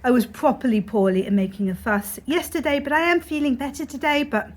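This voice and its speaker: A young woman vlogging casually about her writing year, her voice a little croaky from illness, chatty and full of fillers. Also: high voice, brisk. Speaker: author vlogger. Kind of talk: casual vlog talk to camera.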